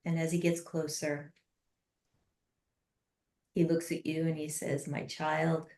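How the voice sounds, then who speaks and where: Woman, low voice, flat and even, slow soft guided meditation, roomy echo. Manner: slow, soft and unhurried with long pauses.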